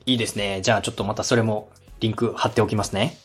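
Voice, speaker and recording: A man's voice: fairly low pitch, fairly even intonation, very fast, slightly rough, faint music behind. A man hosting a podcast, giving feedback in a polite, encouraging way. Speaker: podcast host and adviser. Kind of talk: friendly podcast coaching talk.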